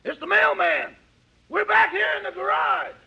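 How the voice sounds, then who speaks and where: An actor playing a wisecracking valet with a raspy voice in a 1950s radio comedy, delivering his lines deadpan. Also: extremely high pitch, normal speed, full character voice. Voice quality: very gravelly, raspy. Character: wisecracking valet and handyman servant to his boss. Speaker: comic sidekick. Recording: muffled vintage radio broadcast.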